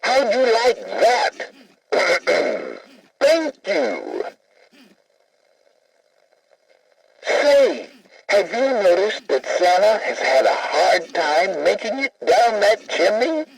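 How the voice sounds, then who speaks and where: A man's voice: sits high, wildly swooping pitch, slow pace, creaky, breathy edge, heavily acted. A man voicing a vain, jolly talking Christmas tree toy, hammy and full of puns, on a muffled, poorly recorded cassette. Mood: jolly, joking. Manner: hammy, boastful, jokey, punning. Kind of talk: scripted novelty toy patter and carol singing.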